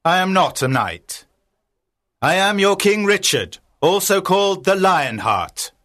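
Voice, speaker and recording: A stately, weary king with a formal way of speaking, as a male narrator puts him on. His voice sits higher than a plain reading voice and swoops widely through each line, delivered slowly and with dignity. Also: very animated, fairly bright tone, full character voice. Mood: solemn regal. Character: weary noble male king disguised as knight.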